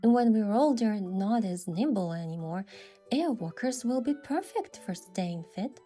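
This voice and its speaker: A woman's voice: mid-range voice, animated, unhurried, mellow. A woman giving scripted first-person story narration with acted dialogue. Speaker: narrator and lead character of a game event story. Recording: music behind.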